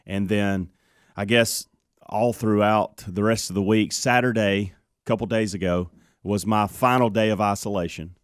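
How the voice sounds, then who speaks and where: Low-voiced man, candid radio chat. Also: fairly even intonation, normal speed, clear, resonant tone, no echo, studio quality. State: lingering cough. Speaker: radio show co-host. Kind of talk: casual chat.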